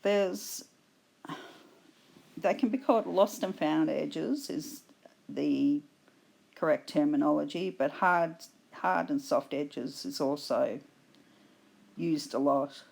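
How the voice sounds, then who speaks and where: A woman with an Australian accent, hoarse from a cold, patiently explaining her painting steps in a tutorial voice-over. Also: low voice, darkish tone. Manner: slow, patient explaining with fillers. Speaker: art instructor.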